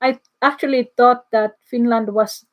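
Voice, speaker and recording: A woman's voice: on the high side, fairly even intonation, unhurried. A middle-aged woman from the Philippines with a Filipino accent, whose first language is Bisaya, hosting a casual livestream chat, reading comments and often laughing and restarting her sentences. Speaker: livestream host.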